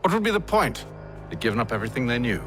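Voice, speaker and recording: A man's voice: mid-range voice, big pitch swings, fast, fairly bright tone, soft background music. A man playing a condescending, ruthless, urbane Templar master in a video game, sardonic and imperious. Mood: dry, dismissive. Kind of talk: dramatic game dialogue.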